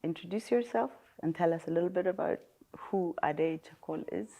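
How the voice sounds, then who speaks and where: A woman with a low, gravelly voice hosts an interview show in a television studio. She talks in polite, formal host phrasing as she welcomes and questions her guest. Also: fairly quick, dark tone.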